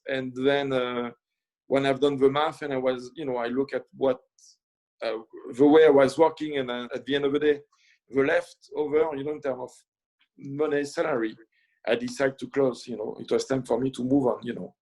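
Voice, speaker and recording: A man's voice: medium pitch, flat delivery, darkish tone, poor audio. A middle-aged man from France with a French accent, a chef talking about his career in a casual interview, full of fillers and restarts. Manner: halting, many fillers and restarts. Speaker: chef and guest.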